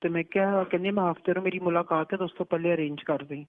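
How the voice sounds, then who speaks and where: A woman's voice: on the low side, fairly even intonation, quick pace, no room sound. A narrow, muffled phone recording with no room sound carries a woman with a Pakistani Punjabi accent. She chats privately and casually, retelling exchanges in quoted speech. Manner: recounts talk by quoting it. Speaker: daughter on a phone call. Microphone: telephone.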